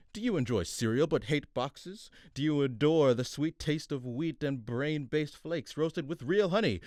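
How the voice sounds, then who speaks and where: A man's voice: mid-range voice, dry recording, studio quality, lightly acted. Theatrical serial announcer, played by a man: mid-range, grandiose on air, sulky and disgruntled off it. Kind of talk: old-fashioned serial radio announcing parody.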